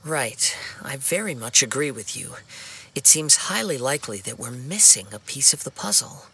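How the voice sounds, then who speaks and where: A man playing a polite, composed young investigator in a video game, speaking formally and deliberately as he reasons. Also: mid-range voice, lively intonation, pushed and bright, husky, heavily acted. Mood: reasoning.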